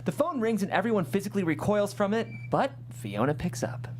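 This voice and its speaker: A woman's voice: medium pitch, big pitch swings, fairly quick, darkish tone, slight background noise. A woman hosting a script read, narrating stage directions and chatting with guests. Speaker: host and stage-direction reader.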